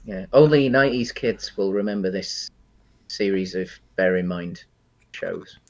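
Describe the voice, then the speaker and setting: A man's voice: low-pitched, a little slow, a little mellow. A British man co-hosting a podcast, chatting casually and with light-hearted banter about games and films. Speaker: podcast co-host.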